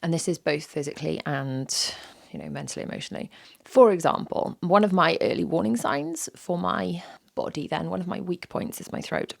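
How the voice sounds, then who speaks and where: A woman in her thirties talks through her podcast in a low, gravelly voice, roughened by a sore throat. She is candid and a little self-deprecating, and she often repeats a phrase for emphasis. The sound is close and dry, with no room echo. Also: lively intonation, normal speed. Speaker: podcast host. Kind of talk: reflective solo podcast talk.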